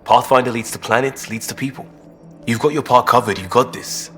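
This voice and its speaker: An actor voicing an easygoing, earnest crisis-response ex-cop in a video game, casual and blunt. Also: male voice, medium pitch, brisk, a bit bright, faint music behind, lightly acted. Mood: earnest, resolute. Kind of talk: video game dialogue acting.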